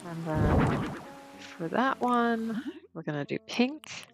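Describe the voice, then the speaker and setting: A woman with a lower voice than most talks through her game as the host, unhurried and chatty, dotting her thoughts with ums and restarts. She is getting over a cold and sounds a bit sniffly. Music plays clearly underneath her. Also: expressive. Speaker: let's play host. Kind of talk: casual gameplay commentary.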